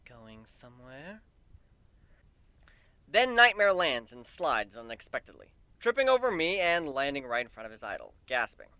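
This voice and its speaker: A man giving an expressive amateur dramatic reading of a fantasy story, in a storytelling delivery. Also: on the high side, brisk, few pauses, clear, resonant tone, no room sound. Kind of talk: dramatic reading of fan fiction.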